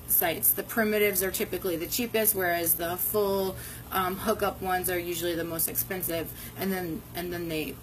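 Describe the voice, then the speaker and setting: A woman's voice: medium pitch, a bit bright, a little hiss or hum, rough recording. A woman in her thirties hosting a casual camping workshop livestream, talking conversationally with frequent ums and restarts, filmed on a phone outside on a porch. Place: covered porch outdoors by a brick wall. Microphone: phone camera at arm's length.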